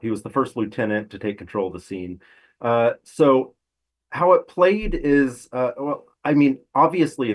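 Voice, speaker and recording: A male crime reporter with a fairly low voice gives conversational analysis as a guest. He explains details step by step, in a halting way with pauses as he picks his phrasing.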